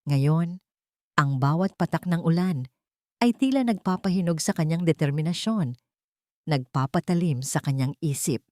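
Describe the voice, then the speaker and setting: A woman narrates a romantic drama from a script. Her voice sits low, and the sound is dry, with very little room in it. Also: normal speed, dark tone, no room sound. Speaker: story narrator.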